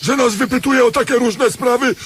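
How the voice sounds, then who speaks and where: Shrill, suspicious beggar sidekick, male. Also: normal speed, a bit bright, slight background noise. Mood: accusing. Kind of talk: radio comedy drama acting.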